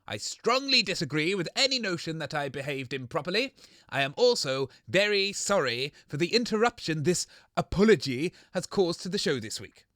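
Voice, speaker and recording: A man's voice: high-pitched, bright, forceful tone, dry recording, clean studio sound, heavily acted. A man with a British accent playing a grumpy, unrepentant, self-righteous radio co-host in scripted comedy, stubborn and dryly sarcastic.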